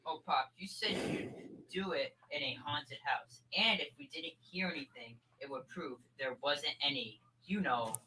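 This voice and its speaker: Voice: medium pitch, unhurried, sharp tone, slight background noise, low-quality recording. A young performer playing an eager, pestering boy in an old-time radio comedy, insistent and childlike. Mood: pleading. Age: teenager.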